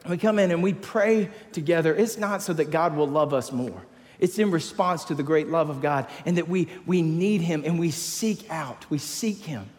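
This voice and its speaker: A man's voice: mid-range voice, a little mellow. Man preaching in a big echoing hall. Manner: emphatic preaching, repeating phrases. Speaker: pastor. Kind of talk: sermon. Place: large church hall.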